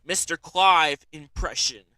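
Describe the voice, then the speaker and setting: A man's voice: a little high, takes it slowly, sharp tone, dry recording, recorded from a distance. Rambling man streaming, mic set back. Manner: rambling, frequent fillers and "alright?" check-ins. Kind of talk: casual livestream gadget demo.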